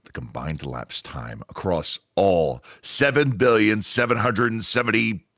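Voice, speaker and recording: A man playing a grave commanding agent in a sci-fi radio drama, briefing with deliberate, dramatic emphasis and pauses. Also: on the low side, expressive, a bit bright, no room sound, studio quality. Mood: grave, urgent. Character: grave male commanding agent of a multiverse agency.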